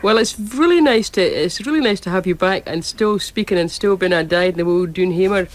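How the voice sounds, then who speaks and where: A woman hosts a radio phone-in and talks fast. She moves briskly from guest to guest with friendly questions. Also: lowish voice. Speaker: host.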